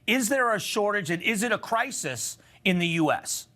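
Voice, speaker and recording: A man's voice: high-pitched, expressive, moderate pace, pushed and bright. An American man hosting a television news interview, putting questions to a guest in broadcast style.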